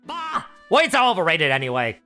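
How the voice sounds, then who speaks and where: A woman's voice: on the low side, huge pitch swings, moderate pace, sharp tone, faint music behind, pitched above the performer's own voice, exaggerated voice. A woman voicing an eccentric, meddling female stylist with a put-on New York accent. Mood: brassy scheming. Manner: scheming, sing-song, slangy.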